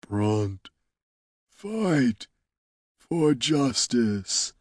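A man voicing a weary, dying old ogre who is slow-witted and speaks in halting, laboured broken phrases. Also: medium pitch, very animated, slightly rough, no echo, studio quality, exaggerated voice. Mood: weak, earnest.

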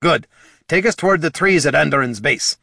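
A man voicing a gruff, blustering male dwarf warrior who hollers in folksy speech. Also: a little high, lively intonation, fairly quick, pushed and bright, no echo, clean studio sound, pitched above the performer's own voice, full character voice. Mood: urgent.

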